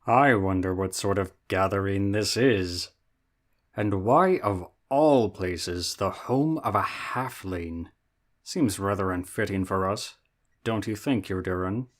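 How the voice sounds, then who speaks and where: A man voicing a wary, practical male dwarf traveler who speaks in a measured, questioning way. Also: low-pitched. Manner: measured, questioning, a bit wry. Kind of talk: fan audio drama roleplay.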